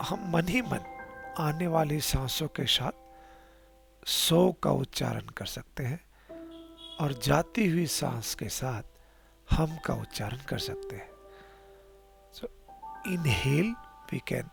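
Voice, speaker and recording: Male meditation guide, Indian accent: extremely unhurried delivery, heavy creak in the voice, calm and soft, over clearly audible music. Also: on the high side. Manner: slow, pausing, calm guidance with soft delivery. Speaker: meditation teacher. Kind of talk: guided meditation instruction, alternating Hindi and English.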